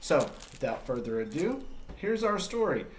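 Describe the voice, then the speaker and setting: A man with a slightly high voice introduces stories for children. His intonation swings freely and animatedly, and he addresses young listeners in an encouraging way. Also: sing-song, moderate pace, reverberant, a little hiss or hum. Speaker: read-aloud storyteller and host. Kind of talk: children's story read-aloud.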